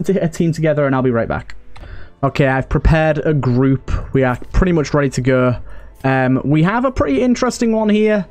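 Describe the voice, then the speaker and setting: A man's voice: medium pitch, darkish tone. A man giving casual video game commentary and storytelling, rambling and thinking aloud as he plays. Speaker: host of a video game roleplay series. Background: game music.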